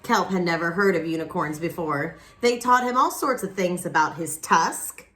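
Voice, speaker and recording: A woman reading a picture book aloud expressively, with dramatic pauses and casual asides to young listeners. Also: on the low side, a bit bright, slight background noise. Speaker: children's picture book reader.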